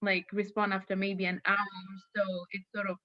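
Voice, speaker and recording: A woman's voice: mid-range voice, flat delivery, moderate pace, no room sound, highs cut off, faint music behind. A woman in casual panel chat, emphatic and given to repeating her phrases.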